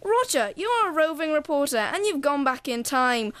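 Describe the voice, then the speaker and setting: A woman's voice: high voice, sing-song, normal speed, fairly bright tone, dry recording. A young woman playing a pompous, touchy radio history narrator in a comic radio play. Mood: grand.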